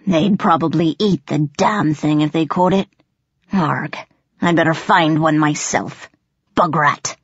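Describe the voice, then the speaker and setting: A woman's voice: deep voice, unhurried, breathy edge, no room sound, muffled recording, full character voice. A woman voicing a menacing, gloating male goblin chief, a crude bully with rustic ungrammatical speech, in an audiobook. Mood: grumbling. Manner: rustic ungrammatical dialect, snarling threats. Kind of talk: audiobook character voice.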